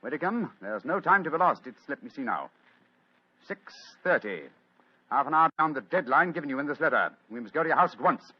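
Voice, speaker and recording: A man's voice: a little high, animated, a little fast, slight background noise, close to an everyday voice. An actor voicing a brilliant, wry detective in a 1940s radio play, dry and theatrical in his delivery. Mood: intent. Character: brilliant, wry male detective, sharp and amused. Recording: dull lo-fi old radio recording.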